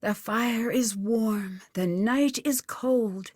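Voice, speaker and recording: A woman's voice: fairly high pitch, very slow, darkish tone, no echo, studio quality. A woman voicing a playful, wry young female detective in a video game, with a sing-song way of reciting. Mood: hushed.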